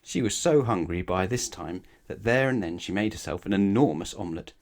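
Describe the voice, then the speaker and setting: A man with a low voice reads a picture book aloud for children. His delivery is expressive, in the manner of a bedtime storyteller. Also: fairly quick. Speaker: storybook reader.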